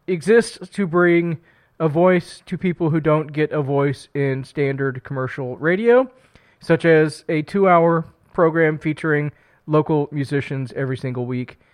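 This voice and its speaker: A man with a slightly higher voice and a smooth, resonant tone hosts a local radio music hour. He talks in a chatty, upbeat way, stumbling over a few words. He is fighting a cold, speaking into a studio broadcast mic. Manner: upbeat, chatty, self-deprecating, with fillers and restarts. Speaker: radio host. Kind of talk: community radio show hosting. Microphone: studio broadcast microphone.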